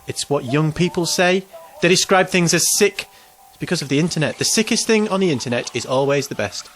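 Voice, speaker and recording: A man's voice: fairly high pitch, expressive, brisk, a bit bright, faint music behind. An actor playing an anxious, self-pitying man in his twenties, hesitant and rambling, in an audio drama. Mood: exasperated, glum. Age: 20s.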